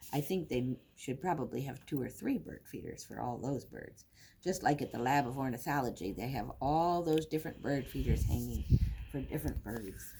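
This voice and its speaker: An older woman reads a picture book for little children, pausing to explain things simply. Her voice is slightly low and mellow, and she takes her time. It is recorded in a classroom with faint background noise and clicks. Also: creaky. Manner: simple explanatory asides, counting aloud. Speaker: teacher reading to young children. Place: indoor classroom with wooden furniture. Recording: noisy room recording with clicks.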